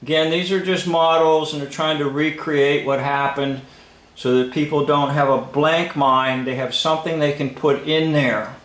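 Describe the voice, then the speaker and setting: A man's voice: a little high, steady tone, normal speed, a bit bright. A man giving informal, sceptical commentary on an old film, instructing in short emphatic phrases. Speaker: video commentator. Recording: echoey room.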